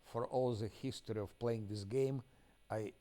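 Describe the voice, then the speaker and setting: A man from Ukraine, a game streamer giving casual live commentary, often halting as he searches for words in English. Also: mid-range voice, fairly even intonation, dark tone.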